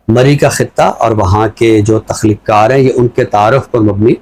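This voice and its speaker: Male, Pakistani accent, mid-pitched voice, literary presenter with measured phrasing. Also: a little mellow, low-quality recording. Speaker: literary show presenter reciting a poem and introducing a book. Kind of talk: poetry recital and literary talk.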